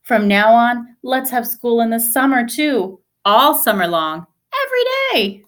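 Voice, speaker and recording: An eager, proud school principal from a children's storybook, acted out by a woman. The voice is raised in pitch and announces things grandly, leaning hard on key words. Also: big pitch swings, a little slow, a bit bright. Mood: proud, enthusiastic. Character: proud, enthusiastic male school principal, fond of learning.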